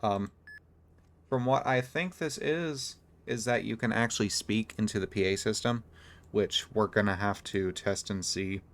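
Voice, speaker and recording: A man giving casual, low-key, explanatory video game commentary. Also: measured pace, fairly bright tone.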